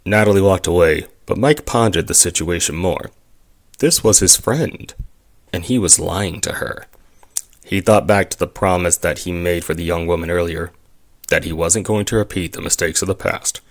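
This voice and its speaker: Man, low-pitched voice, narrator, scripted audio drama storytelling. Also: normal speed.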